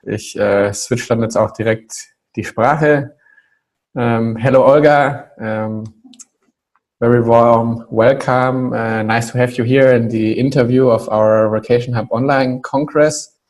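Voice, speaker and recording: A German-speaking man hosting an online interview over a video call, switching to English and pausing often with fillers. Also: medium pitch, steady tone, a little mellow. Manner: hesitant, many fillers. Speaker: interview host.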